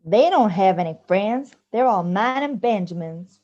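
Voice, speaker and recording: A woman's voice: mid-range voice, expressive, a little slow, mellow, natural voice. A woman playing a sour, sarcastic ghost mother-in-law in an amateur radio play. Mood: scornful. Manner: dry, grudging, sarcastic. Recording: slightly dull, lo-fi audio.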